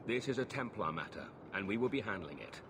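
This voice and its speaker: An actor playing a stern, guarded Templar knight, formal and measured in a video game. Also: male voice, lowish voice, expressive, background noise. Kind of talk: video game dialogue acting. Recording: game audio with music behind.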